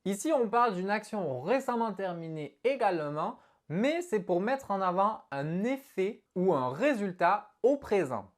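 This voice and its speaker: A woman teaching an online English lesson in an animated, expressive way as she explains to viewers. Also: medium pitch, a little slow, hardly any pauses.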